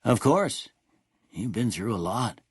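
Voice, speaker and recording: An actor playing a kindly, world-weary old small-town doctor in a video game, with a husky voice and a folksy, rustic American drawl. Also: male voice, lowish voice, very animated, takes it slowly, no echo, clean studio sound, full character voice. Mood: calm, matter-of-fact. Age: older. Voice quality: husky, gravelly. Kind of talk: video game character dialogue.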